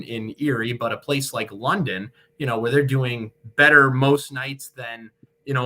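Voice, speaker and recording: A man's voice: mid-range voice, sharp tone. A young man, a sports broadcaster, giving articulate, wordy answers as an interview guest. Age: young adult. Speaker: guest, radio hockey play-by-play broadcaster.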